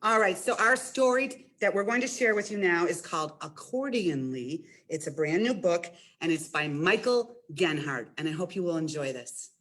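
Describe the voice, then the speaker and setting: A woman with a slightly low voice leads a storytime for small children. She speaks to them directly and encouragingly, as a children's librarian does when introducing and reading a picture book. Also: expressive, normal speed, few pauses, fairly bright tone.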